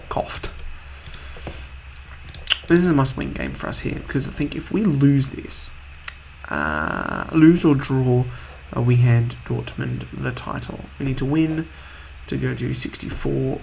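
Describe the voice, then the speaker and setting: A man hosting a video game let's-play in a casual, rambling way, slightly husky from a cold. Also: mid-range voice, slow pace, darkish tone, slight background noise. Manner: rambling, offhand, chatty.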